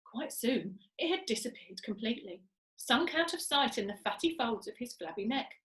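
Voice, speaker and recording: A woman reading a children's story aloud with expressive storytelling delivery. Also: a little high, normal speed. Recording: echoey room.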